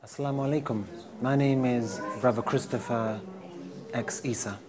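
A man's voice: medium pitch, fairly even intonation, moderate pace, a crowd in the background. A man from Leicester giving an earnest, emphatic testimonial about a tour. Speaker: tour participant. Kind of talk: testimonial interview.

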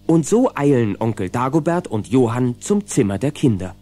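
A man's voice: mid-range voice, sing-song, normal speed, over music, close to an everyday voice. A man narrating a children's radio play as a genial storyteller. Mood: storytelling. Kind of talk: scripted audio-drama narration for children.

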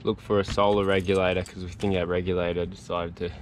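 Young man, sailing vlogger. Deep, flat, weary delivery to an arm's-length camera. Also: fast talker, slight background noise. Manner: weary, matter-of-fact. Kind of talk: casual vlog chat. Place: outdoors on a sailboat. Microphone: action camera at arm's length.

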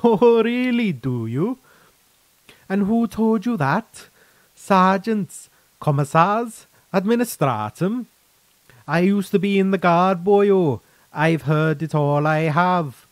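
A man's voice: high voice, lively intonation, takes it slowly, no room sound, pitched above the performer's own voice. A man voicing a brash, defensive former soldier in a story, with a folksy, teasing manner. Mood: scoffing. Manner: folksy, teasing, calls listener lad and boyo. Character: brash, defensive male ex-guardsman turned defector, cheerfully chiding. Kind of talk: short story character reading.

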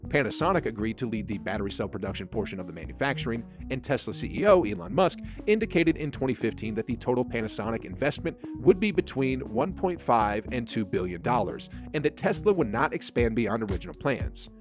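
A man reading a scripted narration, in a flat, even delivery of a dense factual text. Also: mid-range voice, fast, few pauses. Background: faint background music.

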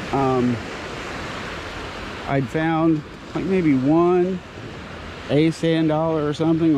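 A man in his sixties walking a beach at sunrise, chatting and telling a rambling story with playful puns into a handheld action camera. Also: a little high. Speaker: teacher vlogger. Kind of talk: casual walking vlog narration. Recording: surf noise behind. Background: ocean waves.

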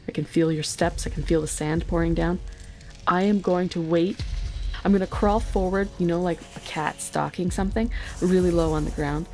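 A woman's voice: fairly low pitch, steady tone, normal speed, warm tone. A woman casually improvising her moves as a guest player in a tabletop game, thinking aloud. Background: music.

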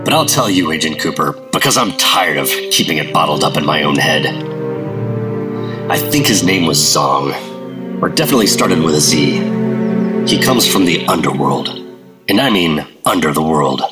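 An actor playing a gruff, weary male government operative in a radio drama, confiding and grave. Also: rumbling low, expressive, moderate pace. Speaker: secret agent Operative-132. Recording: radio drama with loud music score.

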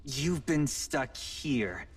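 Young video game hero, medium pitch, halting slow pace, confused, wary, questioning. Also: male voice, lively intonation, husky, full character voice. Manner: hesitant, questioning. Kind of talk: video game cutscene dialogue.

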